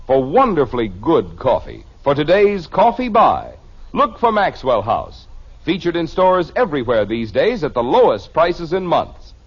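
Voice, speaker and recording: A man's voice: on the high side, huge pitch swings, unhurried, slightly rough. An American man announcing a 1950s radio commercial with polished, emphatic salesmanship. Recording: dull old radio recording.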